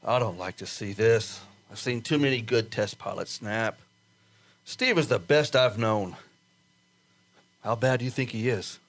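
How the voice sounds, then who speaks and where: An actor in a radio drama playing a pragmatic, protective officer, plain-spoken, hesitant and placating. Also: male voice, on the low side, measured pace, pauses often, fairly bright tone. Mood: worried, soothing. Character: pragmatic male officer, protective friend of a test pilot.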